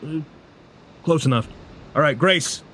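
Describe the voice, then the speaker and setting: A man playing a calm, protective vampire leader in his thirties in an audio drama, talking in a laid-back, matter-of-fact way. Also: fairly high pitch, unhurried, background noise, lightly acted. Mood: calm, helpful. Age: 30s. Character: Caleb, rough-edged thirties male vampire rebel leader, calm, protective.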